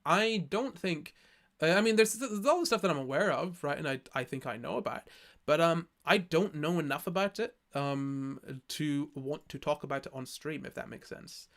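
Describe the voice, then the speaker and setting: A young man from Scotland with a Scottish accent softened by years in England, casually chatting on a live stream and reading his chat, rambling and restarting his sentences often. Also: a little high, animated, moderate pace. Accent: Scottish, softened by living in England. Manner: rambling, self-correcting, lots of restarts.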